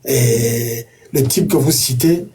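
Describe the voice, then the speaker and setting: A man's voice: mid-range voice, slow, dark tone, slightly rough, far from the mic. A middle-aged man from Cameroon, speaking as a guest from his home office, emphatic and repetitive, with many hesitant fillers. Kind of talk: impassioned live online interview.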